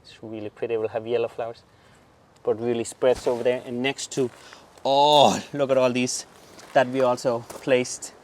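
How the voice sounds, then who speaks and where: An enthusiastic man with a Danish accent walks through a garden, chatting casually about what is in bloom. He keeps exclaiming over the plants and pointing things out. His voice sits at an ordinary middle pitch for a man. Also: level tone, moderate pace, darkish tone, a little hiss or hum. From southern Denmark. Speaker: garden show host. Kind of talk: casual garden tour chat. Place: outdoor garden.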